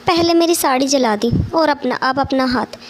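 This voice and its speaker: Woman acting a high-pitched, scolding elder sister-in-law. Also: normal speed, slight background noise, lightly acted. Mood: chiding concern. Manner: stern, bossy, chiding. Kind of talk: cartoon moral story dialogue.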